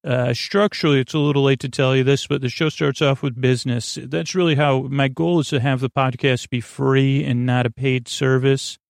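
A man hosting a sleep podcast, rambling in a drowsy, meandering way and gently reassuring his listeners. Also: mid-range voice, steady tone, normal speed, gravelly, few pauses, no echo, studio quality. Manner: meandering, drowsy, self-interrupting.

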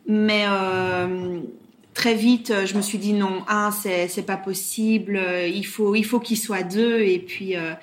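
A woman in her thirties from Brussels with a Belgian French accent, talking as an interview guest, often pausing on "euh". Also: medium pitch, steady tone, slight background noise. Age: 30s. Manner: hesitant, many "euh" fillers. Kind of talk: casual interview talk.

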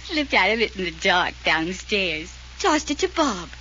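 Giggly coy young gal, tipsy and teasing, voice bouncing all over, old muffled radio. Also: female voice, medium pitch, big pitch swings, unhurried, sharp tone. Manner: giggly, teasing, sing-song. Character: giddy tipsy young woman, coy and secretive. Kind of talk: 1940s radio acting. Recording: dull old radio transcription with faint hiss.

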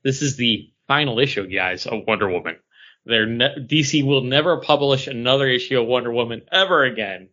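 A man's voice: mid-range voice, brisk, pushed and bright. A man co-hosting a podcast, talking casually about comic books on a slightly muffled, lo-fi recording. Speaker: podcast co-host. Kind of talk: casual comic book chat. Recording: dull lo-fi recording.

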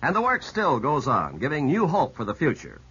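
A man working as a 1950s radio announcer, reading scripted narration in an earnest, declamatory way with a raspy edge. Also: a little high, huge pitch swings, moderate pace, a bit bright. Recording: dull 1950s radio recording.

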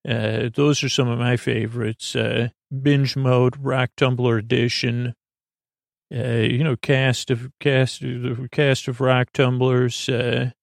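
A man hosting a sleep podcast in a halting, rambling monologue, full of stammers and false starts, with a deadpan whimsy. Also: mid-range voice, creaky, no echo, clean studio sound. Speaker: podcast host.

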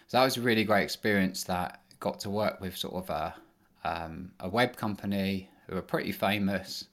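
Man, deep voice, easygoing rambling guest. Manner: relaxed, rambling with many fillers. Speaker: podcast guest, engineering manager. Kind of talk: casual interview answers.

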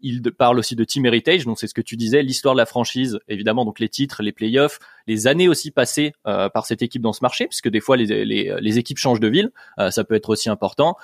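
A man's voice: medium pitch, fast, few pauses, no room sound, clean studio sound. A man hosting a casual sports discussion, leading with many hesitant fillers. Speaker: podcast host.

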